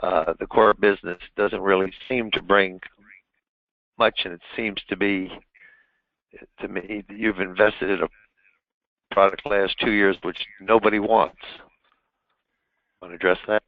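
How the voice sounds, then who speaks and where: Halting, gravelly man on a phone line. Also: low voice, fairly even intonation, dry recording. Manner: halting, fumbling while reading questions aloud. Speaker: conference call moderator reading investor questions. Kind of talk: unscripted question-and-answer on an earnings call. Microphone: telephone on a conference call. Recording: narrow tinny phone line.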